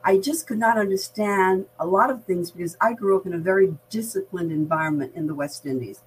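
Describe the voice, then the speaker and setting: An older woman with an American accent speaks in a measured, articulate, explanatory way, reflecting in conversation as an experienced interview guest. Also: medium pitch, a little hiss or hum, rough recording. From Barbados. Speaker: veteran diversity practitioner, podcast guest.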